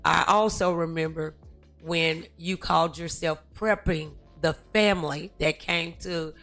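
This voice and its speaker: A middle-aged woman, hosting a casual interview in a halting, teasing manner. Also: low voice, steady tone, fairly bright tone, faint music behind. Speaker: talk show host, relative of the guest. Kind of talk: casual interview chat, storytelling.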